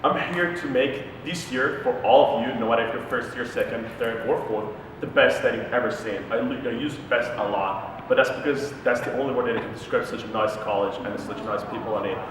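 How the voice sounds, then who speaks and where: A man's voice: mid-range voice, fairly quick, few pauses. A young man giving an eager, repetitive campaign speech. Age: young adult. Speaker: student council candidate. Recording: echoey hall from far off, noisy.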